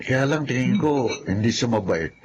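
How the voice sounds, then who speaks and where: An actor playing an observant, disapproving son with a Filipino accent in a radio drama. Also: male voice, medium pitch, expressive, normal speed, lightly acted. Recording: faint background noise.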